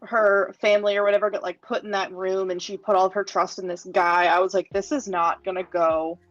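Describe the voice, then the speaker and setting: A woman's voice: medium pitch, flat delivery, a little fast. A woman talking casually and informally as a co-host on a fan livestream. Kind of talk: casual chat. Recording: dull lo-fi sound, faint music.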